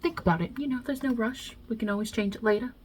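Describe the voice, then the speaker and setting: A woman's voice: a little high, slight background noise, close to an everyday voice. A woman voicing a non-binary God as a brash, irreverent mob boss with a put-on Italian-American New York-New Jersey accent, wry and offhand, in an ASMR roleplay. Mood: businesslike, kindly. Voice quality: husky, breathy, hushed. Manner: wry, offhand, blunt, hesitant pauses, swearing.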